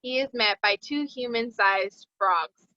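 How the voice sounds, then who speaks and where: A woman narrates a script's stage directions at a table read. Her voice is bright and mid-pitched, recorded very dry and slightly dull in fidelity. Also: a little slow, no room sound.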